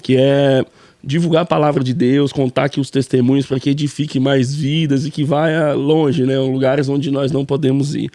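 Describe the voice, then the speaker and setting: A man's voice: medium pitch, level tone, moderate pace, mellow, dry recording, clean studio sound. A man, a pastor, co-hosting a casual church podcast and repeating friendly affirmations.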